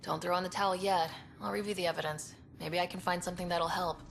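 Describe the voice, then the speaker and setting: An actress playing an earnest, determined young space explorer in a video game, speaking directly and sympathetically. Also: female voice, fairly low pitch, steady tone, quick pace, vocal fry, music in the background. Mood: sympathetic. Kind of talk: video game cutscene acting.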